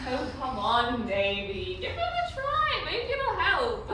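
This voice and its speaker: A woman's voice: sits high, very animated, slow pace, sharp tone, distant mic, full character voice. Woman playing an eager pirate first mate, echoey. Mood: coaxing, playful. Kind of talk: dramatic stage readers theatre. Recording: echoey hall from afar, clear noise.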